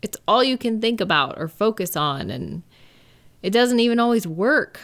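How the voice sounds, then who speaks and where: A woman acting a gentle, caring partner in an intimate comfort roleplay, speaking in a tender, unhurried, reassuring way. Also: medium pitch, lively intonation. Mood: sympathetic, soft. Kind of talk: roleplay comfort audio, intimate one-sided conversation.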